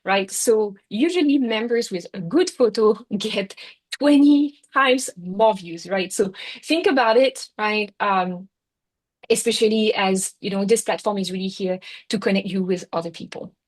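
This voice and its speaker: A woman's voice: medium pitch, a little slow. A middle-aged woman with a French accent gives an online workshop talk in a chatty way, filling her sentences with "you know, like" and "right?". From France. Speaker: workshop speaker. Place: Singapore.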